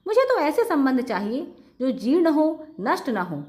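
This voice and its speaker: An earnest, unworldly young son from a moral storybook , voiced by a woman, states his convictions firmly. The voice is high, with animated rises and falls. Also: a little slow. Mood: earnest, resolute. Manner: earnest, declarative.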